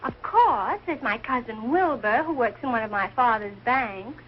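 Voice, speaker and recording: A woman's voice: fairly high pitch, lively intonation, normal speed, close to an everyday voice. A woman playing a snobbish, catty rich teenage girl with an affected, gushing, falsely sweet manner in a 1940s television sitcom. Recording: dull, hissy old television soundtrack.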